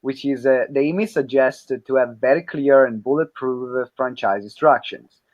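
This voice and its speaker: A man with an Italian accent, hosting a podcast and reading out listener questions, halting with fillers and restarts. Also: mid-range voice, highs cut off. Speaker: podcast host.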